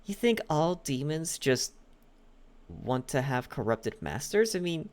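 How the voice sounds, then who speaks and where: Man acting a slow, possessive demon butler. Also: on the high side, big pitch swings, a little mellow. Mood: earnest, smooth. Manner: slow, halting, coaxing delivery with lingering pauses. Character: possessive, lovesick yandere demon butler, coaxing and menacing. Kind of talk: ASMR roleplay, intimate and close.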